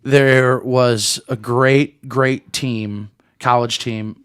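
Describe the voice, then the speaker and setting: A man's voice: medium pitch, fairly even intonation, drawn-out words, clear, resonant tone. A man co-hosting a podcast in casual sports chat, explaining things and repeating points for emphasis. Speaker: podcast co-host.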